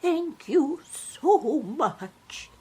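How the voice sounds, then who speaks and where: A woman's voice: high voice, sing-song, extremely slow, a little mellow, heavily acted. An actress playing a humble, grateful poor woman in a radio drama, speaking tenderly, politely and with hesitation. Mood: tearful gratitude.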